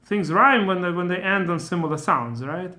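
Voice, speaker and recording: Bright-voiced man with a Russian accent. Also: high-pitched, lively intonation, moderate pace. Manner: thinking aloud, many fillers and restarts. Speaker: Torah teacher. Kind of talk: informal explanatory lesson.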